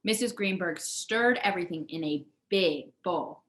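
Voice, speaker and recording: A woman reading a children's picture book aloud with expressive storybook narration. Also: fairly low pitch, measured pace. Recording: echoey room.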